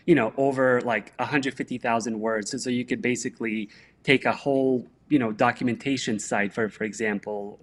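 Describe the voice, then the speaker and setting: Man, from Bosnia, interview guest, mid-pitched voice, steady explanations. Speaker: guest, tech director. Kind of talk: conversational explanation.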